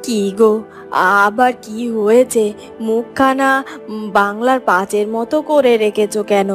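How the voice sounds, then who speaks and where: A woman voicing a poor farmer's caring, anxious wife in a cartoon fairy tale, in an expressive, coaxing manner. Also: a little high, slow, faint music behind. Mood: teasing concern.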